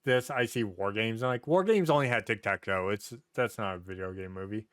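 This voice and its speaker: A man's voice: lowish voice, brisk, a bit bright, smooth, no room sound, clean studio sound. A man chatting casually on a comedy podcast, given to exasperated, sweary rants. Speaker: podcast host.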